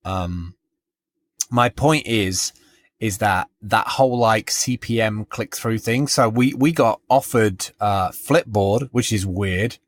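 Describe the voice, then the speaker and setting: A man's voice: on the low side, slow, dry recording. A British man co-hosting a podcast, chatting loosely and rambling with restarts and frequent laughs. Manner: rambling, self-interrupting, laughs often. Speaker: podcast co-host. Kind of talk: casual chat.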